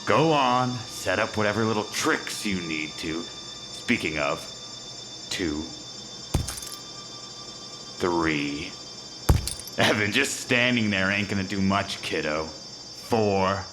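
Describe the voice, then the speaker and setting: A slow, deep, menacing villain. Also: male voice, animated, background noise. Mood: taunting menace. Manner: taunting, deliberate, cold. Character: menacing, mocking hulking male turncoat, superhumanly strong. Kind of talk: dark audio drama villain acting. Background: music.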